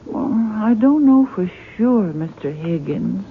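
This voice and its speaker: An earnest, courteous daughter talks slowly and with care, as in a seventies radio play. The recording is thin and tinny with a little background noise. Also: female voice, mid-range voice, lively intonation. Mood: subdued, earnest. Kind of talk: 1970s radio drama acting. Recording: tinny, noisy 1970s radio broadcast recording.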